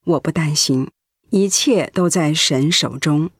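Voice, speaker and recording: Tired middle-aged mother, stoic, devout, calm, dry studio sound, radio drama. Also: deep voice, a little slow, slightly rough, dry recording. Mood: calm, trusting. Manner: calm, accepting. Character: weary, devout pastor's wife, stoic ailing mother. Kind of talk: Mandarin radio drama acting.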